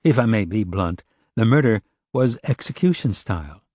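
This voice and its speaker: Man reading as a measured, blunt policewoman. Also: on the low side, animated, a little mellow, no room sound, clean studio sound. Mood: blunt, thoughtful. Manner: measured, direct. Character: blunt, composed female police sergeant. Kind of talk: audiobook character dialogue.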